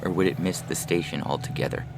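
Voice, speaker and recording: A man's voice: low voice, fairly even intonation, fast, very noisy. A man reading scripted science fiction narration as a storyteller. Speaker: author and story reader. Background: prominent music.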